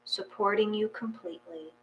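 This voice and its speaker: A woman reading a guided meditation aloud in a slow, calm, measured way with long pauses. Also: mid-range voice, highs cut off. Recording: faint mains hum.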